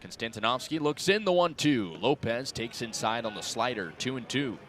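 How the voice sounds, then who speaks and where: A young adult man works as a baseball radio announcer, giving live play-by-play in clipped, descriptive phrases. His voice sits at an ordinary male pitch and follows the action closely. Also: moderate pace. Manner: clipped descriptive phrasing, rising excitement on plays. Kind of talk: live radio sports commentary.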